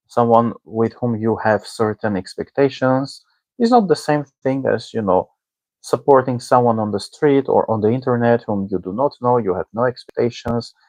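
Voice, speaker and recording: A man whose native language is Armenian, giving casual commentary as a discussion host in a hesitant, halting way, often checking in with the listener. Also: medium pitch, darkish tone, no echo. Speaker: online teacher and discussion host. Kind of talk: casual spoken commentary on a book.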